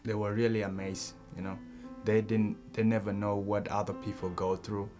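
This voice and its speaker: A man's voice: fairly low pitch, level tone, moderate pace, loud music behind the voice, over a noisy crowd. A young man with an East African accent giving personal testimony in an interview. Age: young adult. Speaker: refugee student.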